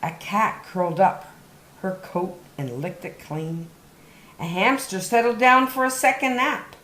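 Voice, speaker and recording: A woman hosting story time, reading a picture book aloud to young children with deliberate, dramatic emphasis on key words. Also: medium pitch, a bit bright, slight background noise. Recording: roomy, echoey indoor sound.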